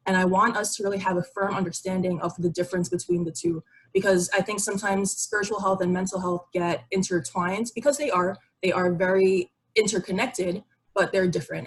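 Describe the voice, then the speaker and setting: A woman's voice: mid-range voice, slightly rough, poor audio. A young woman giving an informal, explanatory educational presentation in a conversational way over a video call. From Barbados. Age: young adult. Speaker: psychiatry resident giving a presentation. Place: online video meeting with shared slides.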